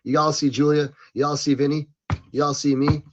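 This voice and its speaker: A man hosting a casual live stream show in slangy, animated, informal talk, speaking straight to the audience. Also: a little high, brisk, noisy background. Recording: dull lo-fi streaming audio.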